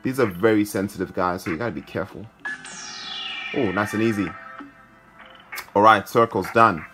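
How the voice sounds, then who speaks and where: A male gaming host with a fairly deep voice talks casually through what he is playing. He is recorded indoors, with quiet game music underneath. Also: normal speed. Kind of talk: casual gameplay commentary. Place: indoor room, filming a handheld phone.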